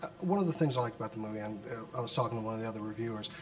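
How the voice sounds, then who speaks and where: A man interviewing an actor for a film site, reading out questions in a slightly halting way. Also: lowish voice, animated, slight background noise. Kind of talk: entertainment press interview.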